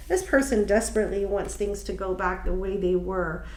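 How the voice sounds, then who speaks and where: A woman giving a casual tarot card reading, speaking slowly, a little nasal and stuffy from allergies, musing aloud with ums and restarts. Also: mid-range voice, fairly even intonation, reverberant. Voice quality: slightly nasal. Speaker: tarot reader.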